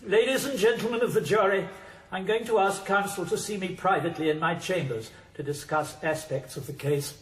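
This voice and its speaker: An actor playing an authoritative judge who speaks formally while presiding over a trial in a radio drama. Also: male voice, sits high, a little fast, reverberant, close to an everyday voice.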